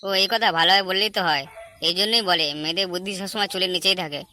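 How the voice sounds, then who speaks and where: Man as brash, fast-talking young cartoon slacker. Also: high voice, pushed and bright, faint music behind. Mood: irritated. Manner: brash, crude, slangy. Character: cocky, hot-headed young slacker man, bragging to friends. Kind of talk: amateur comedy cartoon dub.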